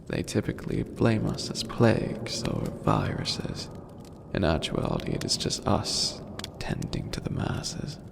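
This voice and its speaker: A performer voicing an imperious, scheming skeleton demon lord in a breathy, creaky whisper, speaking slowly and theatrically in an ASMR roleplay. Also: low voice, heavily acted. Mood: solemn, musing. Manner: slow, theatrical, formal, menacing. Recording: noisy, long cavernous reverb.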